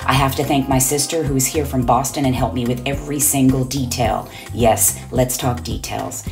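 A woman's voice: extremely low pitch, steady tone, normal speed, gravelly, over music. A woman interior designer narrating a reveal video of a finished home in an upbeat, enthusiastic voice-over.

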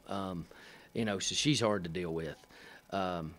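A middle-aged man, a head coach, answering press questions after a game at a table mic, halting with many fillers and dry, matter-of-fact humor. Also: sits low, fairly bright tone. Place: press room in front of a sponsor backdrop. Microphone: table microphone.

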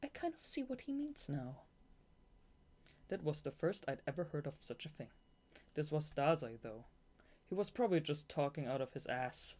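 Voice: mid-range voice, sing-song, normal speed, a little mellow. Young amateur audiobook reader, native German speaker. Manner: giggly, gushing fangirl asides between read passages. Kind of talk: amateur audiobook narration with excited asides.

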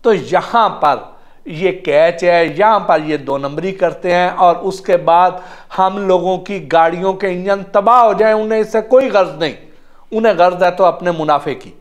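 Casting: middle-aged man, high voice, patient step-by-step explainer, seated indoors on a lapel mic. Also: moderate pace. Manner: earnest, explaining step by step, repeating numbers to check. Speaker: automotive vlogger. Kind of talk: explanatory product demonstration. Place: ornate indoor room, seated at a table.

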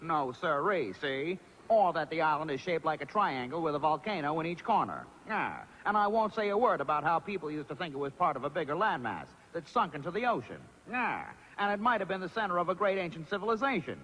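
A man's voice: fairly high pitch, big pitch swings, brisk, sharp tone, a little hiss or hum, rough recording. An actor voicing a tough-talking, defiant cartoon pigeon in an old gangster-movie parody, with a wise-guy manner. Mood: mock defiant. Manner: wise-guy, punctuating with "see".

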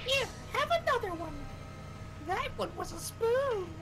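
A crude, lovesick game enemy, acted out by a woman, taunts in an extremely high voice. The mocking delivery breaks into exclamations, and loud noise sits behind it. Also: animated, measured pace, full character voice. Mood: teasing, winded.

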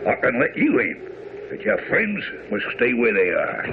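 An actor playing a gruff, stubborn servant guarding a door, with rustic speech, in a radio drama. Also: male voice, mid-range voice, huge pitch swings, normal speed. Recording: muffled, faintly noisy old radio broadcast.

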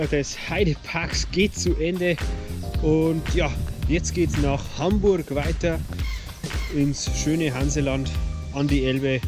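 Chatty man vlogging from the road. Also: on the high side. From Bavaria, Germany. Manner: chatty, enthusiastic, addresses viewers directly. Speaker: travel vlogger. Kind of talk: casual road-trip vlog. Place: car and outdoors on the road.

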